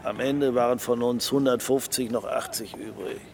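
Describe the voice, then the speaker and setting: A man playing a gruff, chummy police inspector and war veteran in a radio drama, hearty and coarse like a storyteller. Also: medium pitch, steady tone, normal speed, darkish tone, natural voice. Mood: chummy storytelling. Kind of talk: German radio drama acting. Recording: clear outdoor street noise.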